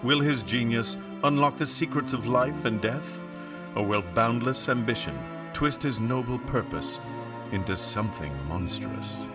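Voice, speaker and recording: A man's voice: medium pitch, unhurried, music in the background. A man hosting a radio drama in the style of a 1930s announcer, with a showy, theatrical delivery.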